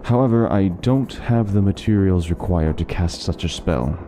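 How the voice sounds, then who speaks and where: An actor playing a learned doctor who eagerly lectures on lore in an interactive fantasy story. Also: male voice, sits low, normal speed, dark tone, a little hiss or hum, natural voice. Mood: explaining. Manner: talkative, lecturing, fond of lore. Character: scholarly male doctor, middle-aged, chatty lore lover.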